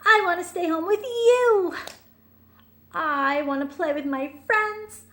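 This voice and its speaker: A woman's voice: extremely high pitch, takes it slowly, a bit bright, voice pushed up, exaggerated voice. A woman reading a picture book aloud, voicing a young raccoon boy, tearful and reluctant, with a pleading, childlike manner.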